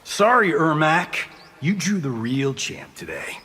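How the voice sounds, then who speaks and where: Cocky high-pitched wisecracker. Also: male voice, sing-song, takes it slowly, bright, forceful tone, a little hiss or hum, heavily acted. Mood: flippant. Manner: flippant, sarcastic, theatrical. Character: cocky, wisecracking, vain movie-star fighter. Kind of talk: fighting game character banter.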